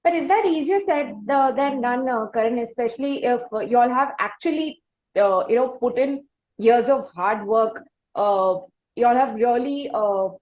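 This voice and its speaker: Higher-voiced Indian woman interviewing, lo-fi sound. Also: normal speed, a little mellow, a little hiss or hum. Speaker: interviewer and podcast host. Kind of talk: conversational interview.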